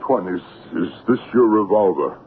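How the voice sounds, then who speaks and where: An actor playing a composed, deferential Congolese servant in an old radio drama, speaking in a measured, grave, respectful way. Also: male voice, on the low side, animated, natural voice. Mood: solemn, conspiratorial. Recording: band-limited tinny vintage radio broadcast, faint noise.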